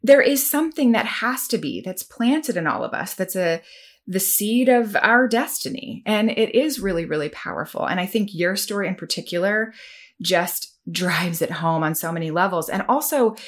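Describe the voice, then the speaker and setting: A woman's voice: mid-range voice, animated, moderate pace. A woman hosting a casual chat, talking with enthusiasm about her childhood and about destiny. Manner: enthusiastic, reflective talker. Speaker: host.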